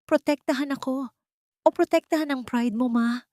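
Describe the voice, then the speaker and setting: A woman's voice: high-pitched, normal speed, warm tone, no room sound, studio quality, pitched above the performer's own voice, natural voice. A woman voicing a furious, bitter rich son who feels betrayed, in an audio story drama. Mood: bitter anger.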